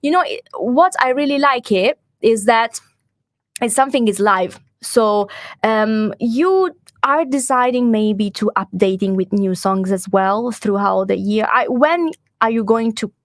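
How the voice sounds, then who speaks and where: A woman's voice: a little high, a little slow, no echo. A woman with an Italian accent, a radio host interviewing a guest in her studio, speaking enthusiastically with many fillers and restarts.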